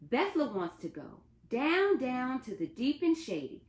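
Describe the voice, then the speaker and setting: In an echoey room, a woman plays a bossy young folktale stepsister. The voice is pushed high and swings widely, eager, insistent and singsong. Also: very animated, measured pace.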